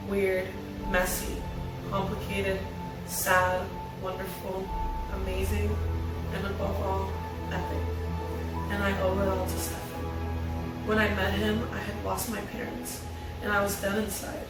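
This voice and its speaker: An evenly pitched woman's voice sits far from the mic in a heavily echoing space, with clear music behind her. She talks like a fan at a casual read-through, with little rise and fall. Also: flat delivery, unhurried. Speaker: fan table reader and commentator. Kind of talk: reading a script aloud, then casual fan chat.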